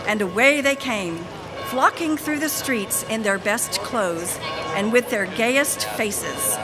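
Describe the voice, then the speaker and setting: A woman's voice: mid-range voice, very animated, slow pace, over music, a crowd in the background. A woman narrating a Victorian tale as a live dramatic reading. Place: live in a church. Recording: reverberant hall.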